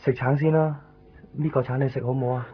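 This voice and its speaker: A man's voice: mid-range voice, fairly even intonation, a little fast, husky, close to an everyday voice. Actor as a chatty, weary male patient, tinny sound. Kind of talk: radio drama dialogue, casual. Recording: tinny 1990s radio, faint background noise.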